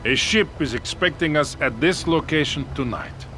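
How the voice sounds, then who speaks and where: War-weary Soviet commander, scored cutscene. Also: male voice, on the high side, expressive, moderate pace, sharp tone, faint music behind. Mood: grave. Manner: earnest, resolute, pleading. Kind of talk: video game cutscene acting.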